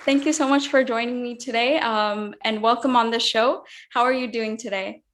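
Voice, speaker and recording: A woman's voice: fairly high pitch, fairly even intonation, normal speed, a bit bright, a faint crowd. A woman hosting an interview show, reading an introduction and asking questions in a gracious, upbeat way. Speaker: host.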